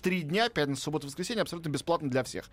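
Opinionated middle-aged man, slightly high voice. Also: lively intonation, racing. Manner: enthusiastic, opinionated, many fillers. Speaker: film critic. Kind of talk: radio film review chat.

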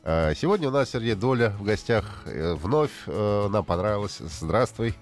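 Playful male radio host, lively voice. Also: lowish voice, moderate pace, faint music behind. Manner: playful, teasing. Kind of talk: jokey radio talk show.

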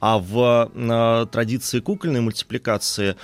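A man's voice: medium pitch, steady tone, moderate pace. A man giving a conversational lecture as an expert guest, often searching for his words. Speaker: expert guest on animation history.

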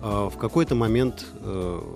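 A man co-hosting a radio talk show, asking measured, halting questions. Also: fairly low pitch, gravelly, a little mellow, faint music behind. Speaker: radio co-host.

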